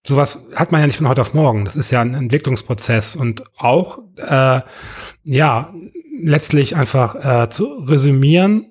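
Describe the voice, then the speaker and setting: A man interviewing a guest in a relaxed conversation, pausing often with fillers and restarting his sentences. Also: mid-range voice, breathy edge. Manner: hesitant, many fillers and restarts. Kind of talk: casual interview.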